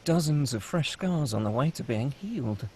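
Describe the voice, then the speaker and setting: A man's voice: mid-range voice, animated, normal speed, darkish tone, slight background noise. A man reading screenplay action and scene headings as the narrator of a table read.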